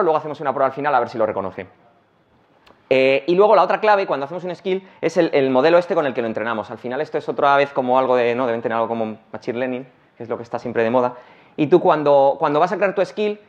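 Fast-talking man with a Madrid accent. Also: on the high side. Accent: Madrid Spanish. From Madrid, Spain. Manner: rapid, casual, many restarts and fillers. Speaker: conference speaker. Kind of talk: technical conference talk. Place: large conference hall, on stage. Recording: dull lo-fi conference recording.

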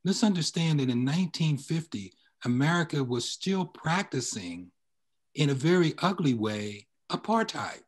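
An older man on a video call, explaining as a panelist in a measured, deliberate and emphatic way. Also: a little high, very animated. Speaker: panelist, history researcher. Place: indoor room on a video call.

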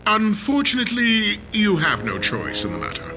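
Give the villain of a video game: a scheming alien chairman who speechifies grandly. His pitch swings widely as he sneers at his listeners. Loud music plays underneath. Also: huge pitch swings, normal speed. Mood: smug menace. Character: pompous, scheming male alien corporate villain. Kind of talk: video game cutscene acting.

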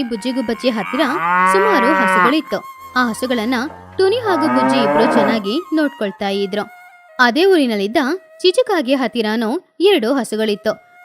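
A woman narrating a children's moral story in a simple, gentle storytelling manner. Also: a little high.

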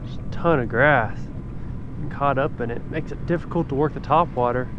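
A man's voice: medium pitch, brisk. A man giving casual running commentary while fishing, talking to himself outdoors on a small boat. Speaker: fishing vlogger. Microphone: body-worn action camera. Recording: muffled action camera audio with clear outdoor boat noise.

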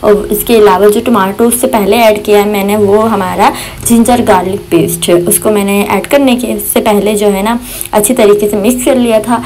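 A woman's voice: mid-range voice, a little mellow, low-quality recording. A young woman, chatting casually in a voice-over for her home cooking vlog, rambling with many fillers and religious phrases. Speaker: cooking vlogger. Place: home kitchen. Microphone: voice-over recorded separately.

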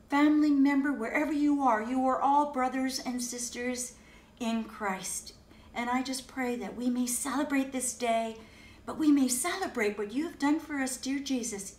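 An older woman giving a children's message, gently and expressively telling a picture-book Bible story in a living room. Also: sits high. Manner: slow, gentle and expressive, like reading aloud to children. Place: living room, seated in an armchair. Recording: echoey room, distant mic.

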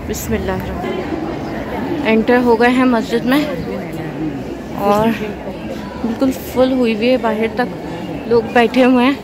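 A woman with a Pakistani Urdu accent gives a soft, devout, explanatory tour as she walks through a large crowded mosque, talking into a handheld phone camera. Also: a little high, fairly even intonation, a little slow, long pauses. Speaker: vlogger. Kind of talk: walking tour vlog narration. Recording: noisy crowd ambience.

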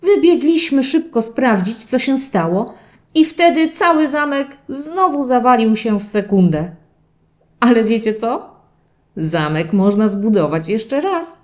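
Woman as an animated puppy storyteller, unhurried. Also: fairly high pitch. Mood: playful, warm. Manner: expressive, drawn-out dramatic telling addressed to children. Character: playful young male terrier puppy narrating his diary. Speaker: narrator of a children's audio story series. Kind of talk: animated first-person children's storytelling.

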